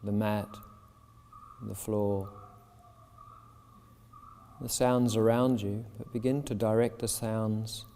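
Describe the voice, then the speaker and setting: A man leading a guided meditation as a yoga teacher, speaking soothingly and deliberately in short phrases with pauses. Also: fairly low pitch, fairly even intonation, dark tone. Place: yoga shala with a seated group. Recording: long reverb of a large hall.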